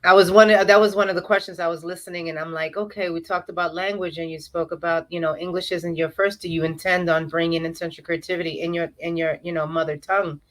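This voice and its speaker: Bright-sounding woman host on a video call, chatty, lots of "you know"s and ums. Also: lowish voice, fairly even intonation, fast, hardly any pauses. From New York, USA. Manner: chatty, warm affirmations with many fillers. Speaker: interview host. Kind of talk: casual online interview.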